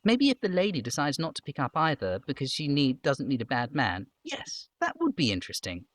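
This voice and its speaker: Casting: man playing innocent, earnest young game girl, pitched high, lively and deferential. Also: fairly quick, dry recording, clean studio sound. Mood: thoughtful, innocent. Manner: deferential, innocent, matter-of-fact. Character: polite, earnest young girl chatting helpfully.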